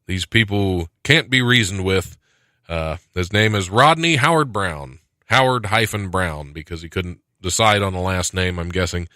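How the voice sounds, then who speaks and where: A man's voice: low voice, moderate pace, bright, forceful tone, dry recording, clean studio sound. A man hosting a casual comedy podcast, reading news items and breaking off into sarcastic, joking asides. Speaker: podcast host. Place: studio.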